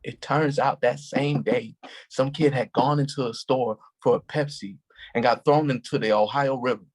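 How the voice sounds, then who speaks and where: Brief: husky, mid-pitched actor playing a gentle cop, measured and steady. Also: male voice, close to an everyday voice. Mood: reflective. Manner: calm, measured, gently reflective storytelling. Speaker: actor in a staged play reading. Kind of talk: staged play reading.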